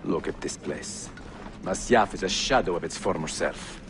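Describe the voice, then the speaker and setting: Deep-voiced, embittered old assassin master. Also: male voice, noisy background. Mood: stern, resentful. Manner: grave, formal, measured speech. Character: embittered elderly male assassin master, grieving, proud. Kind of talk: dramatic video game cutscene acting. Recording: loud music over the dialogue.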